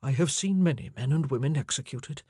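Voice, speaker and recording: A woman reading an audiobook, voicing a solemn, kind-hearted jailer who speaks steadily in her own lower register. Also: brisk, dark tone, slightly rough, no room sound, clean studio sound, full character voice. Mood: reassuring. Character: gentle middle-aged male jailer, grave, kindly.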